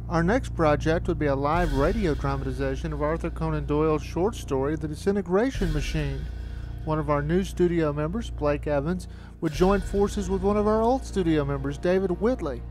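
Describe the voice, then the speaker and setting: A man's voice: fairly high pitch, normal speed, darkish tone. A man narrating a reflective, anecdotal documentary retrospective as the filmmaker. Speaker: filmmaker looking back on studio's history. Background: music.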